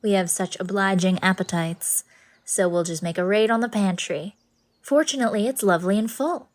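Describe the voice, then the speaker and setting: Woman playing middle-aged lady, whimsical, sweet, playful, effusive, medium-pitched, audiobook drama. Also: normal speed, close to an everyday voice. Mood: welcoming. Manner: effusive, playful, theatrical phrasing. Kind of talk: scripted audiobook drama acting.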